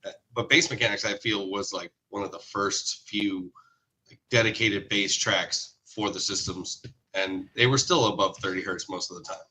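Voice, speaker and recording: A man's voice: lowish voice, moderate pace, pushed and bright, low-quality recording. A man in his thirties, originally from Hawaii, hosting a live stream and telling stories about car audio in a forthcoming way. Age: 30s.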